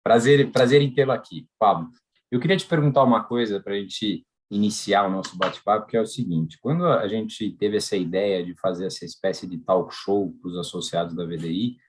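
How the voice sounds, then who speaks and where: A man with a Brazilian Portuguese accent hosting a live podcast, welcoming and chatty. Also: lowish voice. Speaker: podcast host.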